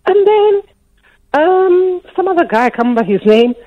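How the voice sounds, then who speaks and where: A woman's voice: extremely high pitch, big pitch swings. Upset Nigerian-accented woman calling in. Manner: emotional, upset, talks in rushes with many restarts. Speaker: caller. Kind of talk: radio phone-in call. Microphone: phone. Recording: muffled phone line.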